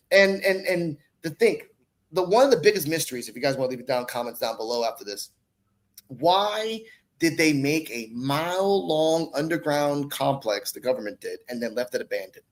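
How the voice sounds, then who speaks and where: A man from the Bronx talking casually as a podcast guest, rambling and slangy, with swearing mixed in. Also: on the high side. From the Bronx, New York, United States. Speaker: guest, online content creator and podcaster. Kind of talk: casual conversational podcast talk.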